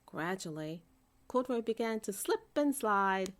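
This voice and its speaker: A woman narrating a bedtime story for children in an expressive storytelling manner. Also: mid-range voice, measured pace. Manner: expressive storytelling, character voices for dialogue.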